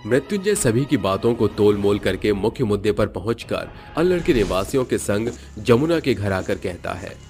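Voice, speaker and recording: A man's voice: lowish voice, animated, moderate pace, vocal fry, few pauses, darkish tone, faint music behind. A man narrating a horror cartoon story in a dramatic, scripted way.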